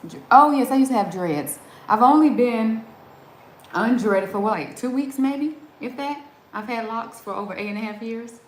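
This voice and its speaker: A woman's voice: a little high, animated, moderate pace. A middle-aged woman chats on a home livestream, reading viewer comments and answering them as she goes. She laughs often and breaks off mid-sentence to start over. Her voice rings with room echo, and a faint hum of ambience sits behind it. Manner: chatty, laughs often, trails off and restarts. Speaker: livestream host. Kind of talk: casual live chat reading viewer comments.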